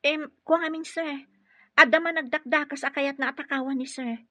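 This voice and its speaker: A young nurse in an Ilocano radio drama. Her voice is very high and bright, recorded dry. She is courteous and deferential toward those above her. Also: steady tone, fairly quick, dry recording. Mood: polite, evasive. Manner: respectful, addressing superiors as sir. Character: young female nurse caregiver, polite and deferential to her employer.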